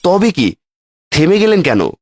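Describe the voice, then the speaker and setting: Man acting an excitable, animated city traveller. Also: high voice, measured pace, pitched above the performer's own voice, full character voice. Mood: anxious, pleading. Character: city office worker on a village trip, excitable. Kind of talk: cartoon horror story voice acting.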